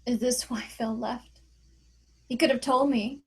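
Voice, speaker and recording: A woman playing a desperate, frightened mother in a dramatic screenplay table read, with a husky voice. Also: a little high, steady tone, unhurried. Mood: questioning, troubled. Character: desperate, frightened mother pleading for her daughter.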